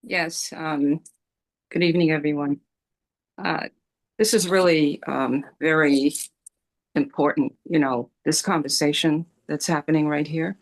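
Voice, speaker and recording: Gravelly-voiced woman from Rhode Island. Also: sits low, fairly even intonation, measured pace, poor audio. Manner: hesitant, many ums and restarts. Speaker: community discussion participant. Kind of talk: casual discussion on a video call.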